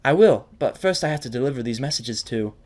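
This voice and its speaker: A man's voice: medium pitch, expressive, brisk, darkish tone, voice pushed up, close to an everyday voice. A man voicing a sweet, clumsy female pegasus pony for an audio drama, bubbly, apologetic and eager.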